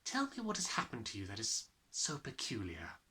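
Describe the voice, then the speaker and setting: A man voicing a gentle, patient woman schoolteacher in a storybook, speaking softly and carefully. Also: fairly low pitch, huge pitch swings, moderate pace, full character voice. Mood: gentle, reassuring. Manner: soft, careful, soothing.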